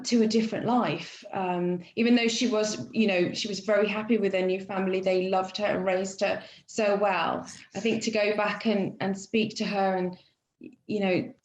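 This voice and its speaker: A woman's voice: medium pitch, a little mellow, echoey room. A woman guest on a casual podcast talking reflectively and hesitantly, with many fillers and restarts. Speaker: student guest.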